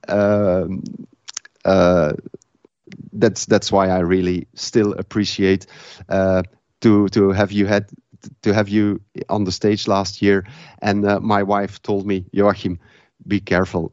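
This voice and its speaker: A man from Flanders with a Flemish Dutch accent, speaking English as a second language, talking haltingly with many fillers as a guest in a casual interview. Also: fairly low pitch, darkish tone, no room sound, dull audio. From Belgium, Flanders. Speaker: event organizer, guest.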